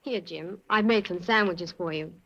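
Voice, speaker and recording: A woman's voice: on the low side, normal speed, over loud music, close to an everyday voice. An actress playing a loyal, worried young female assistant in a 1940s radio drama. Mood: earnest. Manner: eager, worried. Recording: dull, noisy 1940s radio recording.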